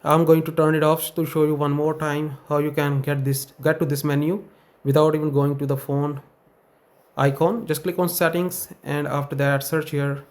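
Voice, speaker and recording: A man with a somewhat high-pitched voice narrates an informal screen tutorial. He talks as a channel host would, laying out each step plainly and instructionally. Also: flat delivery, moderate pace.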